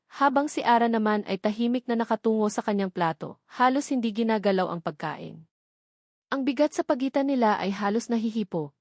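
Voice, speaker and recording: A woman's voice: medium pitch, a little mellow, no echo, clean studio sound. Filipino woman narrating a dramatic story. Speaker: audiobook narrator.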